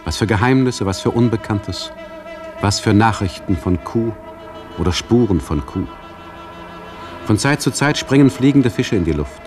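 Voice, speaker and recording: Male radio play speaker, low, solemn, short wondering phrases, music underneath. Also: expressive, moderate pace, long pauses.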